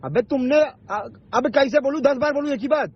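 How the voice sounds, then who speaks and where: A man's voice: extremely high pitch, fairly quick. A man in a heated phone argument, confrontational and insistent, swearing and repeating himself. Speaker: online video maker. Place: phone call recording. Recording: dull lo-fi recorded phone call.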